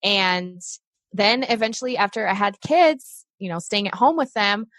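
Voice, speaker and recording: A woman's voice: medium pitch, expressive, normal speed, a bit bright, no echo. Brazil-born woman, chatty earnest coach on a podcast, really dry mic. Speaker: podcast guest, success coach for moms. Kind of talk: casual interview chat.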